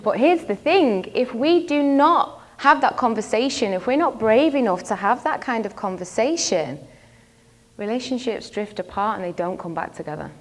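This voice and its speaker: A woman's voice: on the high side, expressive, normal speed. A woman relationship coach giving a motivational talk to an audience, telling a personal story and asking the crowd questions. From not from the local area; lives in London.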